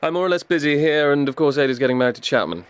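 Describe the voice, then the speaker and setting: An actor playing a reserved, awkward young Edwardian gentleman with an English accent in a period radio drama. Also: on the high side, racing, lightly acted. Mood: stiff, reserved.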